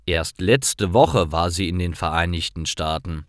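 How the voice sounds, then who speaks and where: Deep, slow male story narrator. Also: expressive, dry recording, studio quality. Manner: careful, simple short sentences. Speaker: narrator of language-learning stories.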